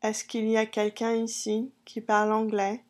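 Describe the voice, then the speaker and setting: A woman teaching a language lesson, saying each short phrase slowly and carefully, then repeating it several times for the listener. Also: a little high, monotone. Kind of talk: phrase-drill lesson narration.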